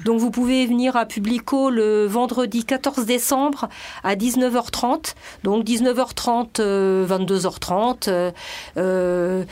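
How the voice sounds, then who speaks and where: A woman whose first language is Spanish, a guest editor and translator on a radio interview, speaking hesitantly with many filler pauses. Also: fairly high pitch, fairly even intonation, darkish tone.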